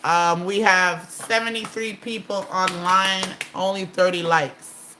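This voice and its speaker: A woman's voice: lowish voice, fairly even intonation, slow pace, noisy background. A woman casually hosting a live stream, chatting and reading viewer comments aloud.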